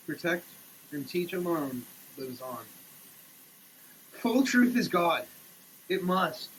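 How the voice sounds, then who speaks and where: A man giving a theatrical, declamatory dramatic reading, swinging between hushed delivery and shouting, as a comic performance. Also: high voice, a little hiss or hum, poor audio. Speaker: sketch comedy performer. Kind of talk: dramatic reading of a product label. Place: shower.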